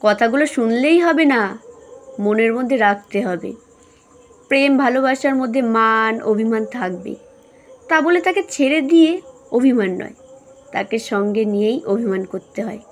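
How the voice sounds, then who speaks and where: A woman voicing a patient middle-aged mother in an audio story, soothing and gently chiding. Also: on the high side, lively intonation, unhurried. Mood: chiding, advising. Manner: soothing, chiding, homespun advice.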